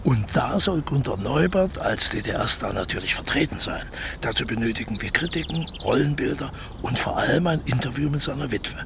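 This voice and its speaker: An actor playing a smooth-talking, scheming crook in a radio play, persuasive and cocky as he explains his plans. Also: medium pitch, lively intonation, moderate pace, few pauses, heavy background noise. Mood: sly, confident. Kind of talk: naturalistic German radio play dialogue.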